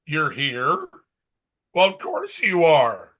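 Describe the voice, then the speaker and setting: A man's voice: on the high side, wildly swooping pitch, slow pace, fairly bright tone. A man hosting a casual sports podcast while under the weather, his voice worn out, with a dry, teasing way of talking. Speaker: podcast host.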